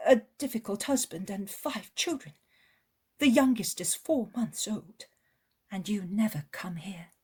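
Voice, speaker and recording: A woman's voice: medium pitch, breathy edge, pitched above the performer's own voice. A woman voicing a worn-out, anxious mother from a poor village who pleads and complains in a raised voice.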